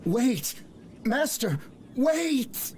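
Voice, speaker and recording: A man's voice: very high-pitched, sing-song, extremely slow, noisy background, full character voice. A man voicing a scheming, easily flustered crooked merchant in a video game, theatrical and spluttering. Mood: desperate. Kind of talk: game voice acting.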